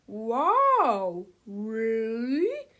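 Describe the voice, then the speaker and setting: A woman's voice: on the high side, wildly swooping pitch, a bit bright, full character voice. Giant robot-clad male bad guy, female reader: menacing, bossy, growly, heavily stretched-out delivery for a children's storybook. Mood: incredulous. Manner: slow, drawn-out, growling, domineering.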